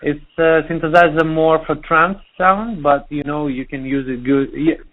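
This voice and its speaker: A man from Russia with a Russian accent, speaking halting English as a DJ being interviewed, pausing to search for words. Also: fairly high pitch, steady tone. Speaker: DJ and producer. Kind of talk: interview over the phone. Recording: tinny phone line.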